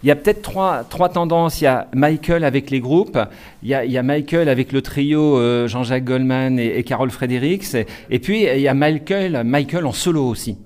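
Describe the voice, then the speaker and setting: A man's voice: medium pitch, fairly quick, darkish tone. A man interviewing a musician guest, chatty and full of stammered repeats and fillers. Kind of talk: interview questions.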